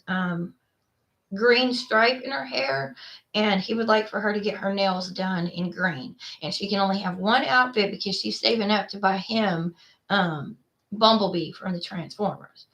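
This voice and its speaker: A woman's voice: medium pitch, a bit bright. Texan-accented woman, middle-aged, blunt and rambling, casual solo chat. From Texas. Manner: rambling, frank, with many fillers and restarts. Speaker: vlogger.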